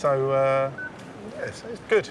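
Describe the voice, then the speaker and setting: A man's voice: mid-range voice, a little slow, recorded from a distance, noisy background. A man working as an airport passenger service agent, chatting casually with a friendly, laughing manner. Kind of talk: casual chat at work. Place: busy airport terminal.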